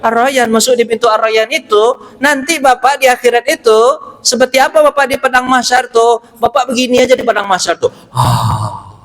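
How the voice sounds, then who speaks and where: A man's voice: extremely high pitch, normal speed, fairly bright tone. A middle-aged man with a Pariaman Minangkabau accent giving a short mosque sermon, joking and quizzing the congregation with questions. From Pariaman, West Sumatra, Indonesia. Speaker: preacher and university lecturer.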